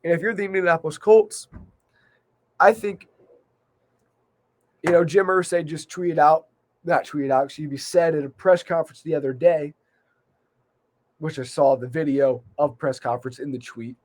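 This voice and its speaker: A man hosting a solo sports recap in a measured, conversational way with emphasis on key points, his voice slightly worn by a cold. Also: fairly high pitch, steady tone, a little mellow. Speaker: sports podcast host.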